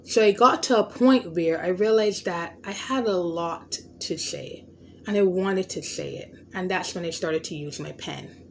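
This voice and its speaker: A woman's voice: on the low side, a little slow, vocal fry, a little hiss or hum. A woman with a stutter and a lisp, hosting a podcast and talking through a personal story in an earnest, reflective way, often restarting words. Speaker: podcast host. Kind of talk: unscripted personal monologue.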